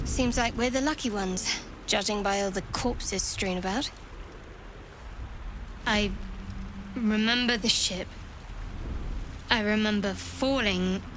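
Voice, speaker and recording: An actress playing a wary young woman in a video game, speaking with measured, dry composure. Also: mid-range voice, background noise, close to an everyday voice. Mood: composed, wry. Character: guarded, wary young woman freed from captivity. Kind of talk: video game character acting. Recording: music behind the voice.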